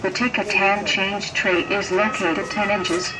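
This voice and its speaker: A synthetic, robotic female voice giving formal, flat automated instructions as a ticket machine prompt. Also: mid-range voice, a little slow, loud music behind the voice. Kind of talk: scripted automated audio instructions. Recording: noisy distant machine speaker.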